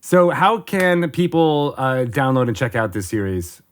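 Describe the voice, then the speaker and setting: A man's voice: medium pitch, very animated, unhurried. A man co-hosting a podcast, upbeat and rambling as he wraps up the interview. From former theater major. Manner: upbeat, rambling, many fillers. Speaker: podcast co-host. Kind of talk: casual podcast chat.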